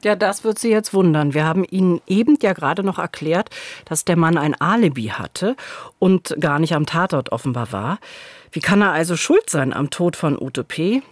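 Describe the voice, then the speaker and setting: A woman in her forties co-hosting true crime, telling it conversationally but with suspense and emphatic pauses, on a studio microphone. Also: fairly low pitch, animated, dry recording. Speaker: true-crime podcast co-host. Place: dark radio studio. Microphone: studio microphone on boom arm, headset monitoring.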